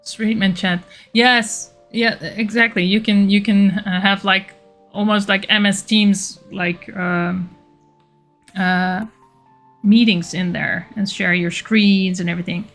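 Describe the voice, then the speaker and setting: A woman with a Dutch accent talks slowly and casually, reading viewer comments aloud and answering with little laughs and restarts. Her pitch sits in the middle, and soft music plays faintly behind her. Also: steady tone. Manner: chatty, reads chat aloud, frequent laughs and restarts. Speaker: live streamer. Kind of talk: casual livestream chat while working.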